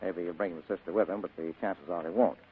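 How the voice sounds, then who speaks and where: Fast, wisecracking private eye, tinny radio. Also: male voice, low voice, steady tone, slightly rough. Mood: businesslike. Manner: sardonic, evasive, clipped. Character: cool, wisecracking hard-boiled private detective. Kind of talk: 1940s radio drama acting. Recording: tinny band-limited vintage radio broadcast.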